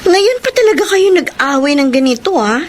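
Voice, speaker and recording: A high, animated, concerned friend. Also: female voice, a little fast, a little hiss or hum. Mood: surprised, concerned. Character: concerned, frank young female friend giving advice. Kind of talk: Filipino radio drama acting.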